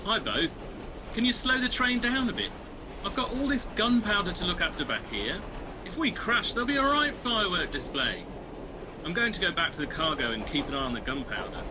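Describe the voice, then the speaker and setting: Nervous soldier played by a teenage boy, very high, swooping voice, excitable radio-play acting over noisy train ambience. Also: brisk. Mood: uneasy. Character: nervous male artilleryman guarding gunpowder.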